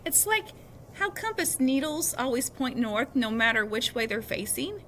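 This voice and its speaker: Bright-voiced woman reading a story aloud. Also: high-pitched, big pitch swings, moderate pace, slight background noise. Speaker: reader reading a children's novel aloud.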